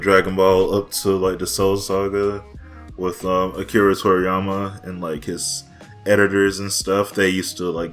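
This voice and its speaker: A young man with a very deep voice chats loosely on a podcast, dropping slang and laughing easily. Faint music plays softly behind him. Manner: laid-back, lots of "like" and "bro", laughs often. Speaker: podcast co-host. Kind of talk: casual chat.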